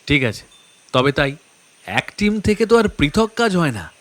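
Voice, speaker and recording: An actor playing a detective's skeptical, impatient elder companion in an audio drama, remonstrating pointedly. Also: male voice, fairly high pitch, very animated, measured pace, a bit bright. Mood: grudging.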